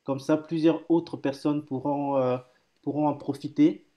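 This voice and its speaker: A man in his thirties hosts a live video call, cheerful but halting, piling up fillers and restarts. His voice sits a little high, yet it sounds mellow and warm. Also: steady tone. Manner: hesitant, many fillers and repeated words, cheerful. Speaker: host. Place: home office room.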